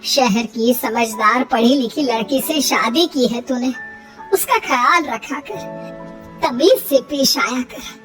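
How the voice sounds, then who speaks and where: A woman voicing a gentle, long-suffering older mother-in-law in a cartoon story, pleading in a motherly way. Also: high voice, takes it slowly, recorded from a distance, music in the background. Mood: gentle, sad.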